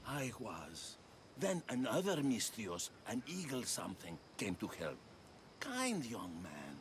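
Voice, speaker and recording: An actor playing a gentle, mournful village blacksmith in a video game, speaking softly and haltingly. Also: male voice, medium pitch, wildly swooping pitch, background noise. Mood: mournful, weary. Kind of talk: video game cutscene acting.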